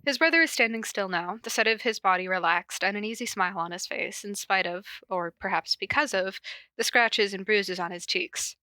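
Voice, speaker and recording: A woman's voice: mid-range voice, normal speed, sharp tone, no echo. A woman podcast host cold-reading a story aloud, with casual, self-deprecating asides.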